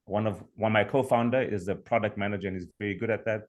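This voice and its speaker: A young man from Zimbabwe with a Zimbabwean accent, presenting his startup over a video call in a measured, explanatory way. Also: on the low side, fairly even intonation. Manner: measured, explanatory, with fillers and restarts. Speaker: startup founder pitching. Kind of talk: online pitch presentation.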